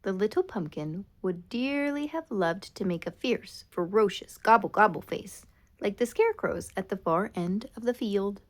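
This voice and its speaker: A woman reading a children's story aloud in a dramatic, expressive way, drawing out key words. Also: mid-range voice, moderate pace. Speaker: storybook reader. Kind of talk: scripted children's storytime narration.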